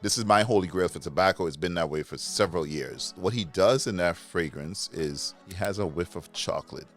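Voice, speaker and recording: Very low-voiced enthusiastic man from Trinidad. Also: brisk, soft background music. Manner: enthusiastic, animated, searching for words. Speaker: interview guest, fragrance reviewer. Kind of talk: conversational interview.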